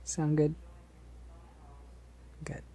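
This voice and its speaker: A man playing a polite, considerate vampire in a soft-spoken ASMR roleplay, hesitant, gentle and reassuring in the way he talks. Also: very slow. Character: polite, considerate, decades-old male vampire posing as college student.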